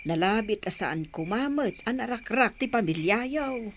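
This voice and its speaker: A woman acting a concerned older mother who gently counsels her grown son in a radio drama. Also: fairly high pitch, animated, a little slow, a little hiss or hum.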